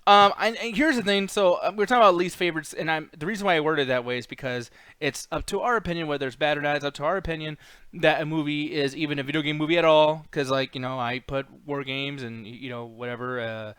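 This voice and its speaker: Higher-voiced man, profane casual ranting. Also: fast talker, fairly bright tone, clean tone, dry recording. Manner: mimics characters in mocking quotes. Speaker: podcast host. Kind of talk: casual opinionated movie ranking chat.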